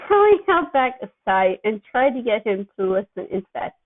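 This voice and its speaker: A woman's voice: a little high, big pitch swings, moderate pace. A woman narrating a story expressively as an amateur reader. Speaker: amateur fan fiction reader. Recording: thin, phone-like narrow-band sound.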